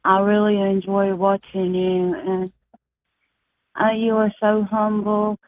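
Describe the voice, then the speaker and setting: A soft-spoken woman from Texas calls in over a tinny phone line. Her flat, even delivery is earnest and halting, full of small pauses. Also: medium pitch, no echo. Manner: earnest, halting, many fillers. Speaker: caller.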